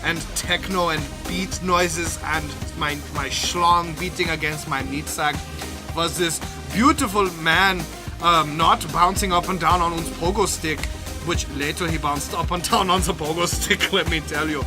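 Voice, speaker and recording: A man's voice: fairly high pitch, measured pace, over music, close to an everyday voice. A man voicing a lewdly earnest German DJ with stilted, formal phrasing and a German accent in an improvised comedy monologue. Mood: dreamy, lewd.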